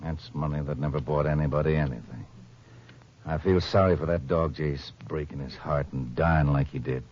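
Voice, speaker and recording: A man's voice: very deep voice, moderate pace, slightly rough. An actor playing an eager, conversational male sheriff in a 1950s radio drama. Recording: dull old radio transcription with faint hiss.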